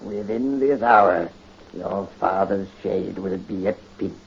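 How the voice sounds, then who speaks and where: A radio actor playing a scheming, fanatical servant in 1930s radio drama style, speaking low and menacing on an old recording. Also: male voice, takes it slowly, vocal fry, husky, exaggerated voice. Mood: gloating. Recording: dull lo-fi radio recording with faint hiss.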